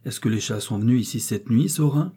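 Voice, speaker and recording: A man's voice: medium pitch, a little fast, dark tone, slightly rough, lightly acted. A man voicing a stern, irritable miller in a storybook reading, curt, scolding and commanding. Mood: reproachful. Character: stern, irritable male miller, the master of the mill.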